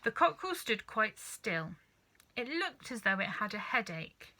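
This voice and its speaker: A woman reading a children's story aloud as narrator, with expressive scripted narration. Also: mid-range voice, unhurried. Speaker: storybook reader.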